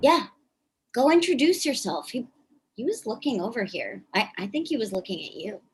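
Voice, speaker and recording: A woman's voice: fairly high pitch, big pitch swings, normal speed, breathy edge, exaggerated voice. A woman playing a bitter, exasperated, sweary activist in a live staged play reading. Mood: encouraging. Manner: sweary, biting.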